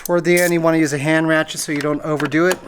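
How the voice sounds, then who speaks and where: Higher-voiced man giving a casual repair tutorial. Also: little pitch movement, rapid-fire. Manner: plain-spoken, step-by-step explaining. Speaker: how-to video host. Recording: noticeable background noise.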